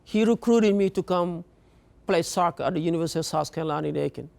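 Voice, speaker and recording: High-voiced man from Africa, earnest and halting. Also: vocal fry, darkish tone. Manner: earnest, halting, repeats words. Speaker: speaker. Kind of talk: personal story talk.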